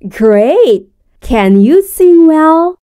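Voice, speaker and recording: A woman speaks as a classroom teacher in a high voice. She asks her questions slowly and clearly, in the manner of recorded course material. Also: very animated, warm tone. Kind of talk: scripted textbook dialogue.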